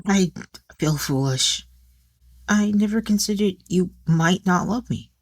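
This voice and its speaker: A tender, vulnerable wife, acted out by a woman narrator in an audiobook drama. She speaks earnestly and hesitantly, at a slow pace, very dry and close. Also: on the low side, darkish tone, dry recording, pitched above the performer's own voice. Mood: hurt. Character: tender, hopeful, unloved young wife.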